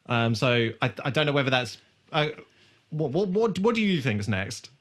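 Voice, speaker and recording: A man's voice: mid-range voice, very animated, normal speed, fairly bright tone. Sweary man in casual podcast chat. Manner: sweary, irreverent. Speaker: podcast host. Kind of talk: casual chat recapping a TV episode.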